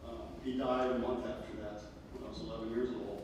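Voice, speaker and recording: Male inductee, halting acceptance speech, distant in a reverberant hall. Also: medium pitch, flat delivery, darkish tone. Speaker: award inductee. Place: large echoing hall at a ceremony. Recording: echoey hall from far, clear background noise.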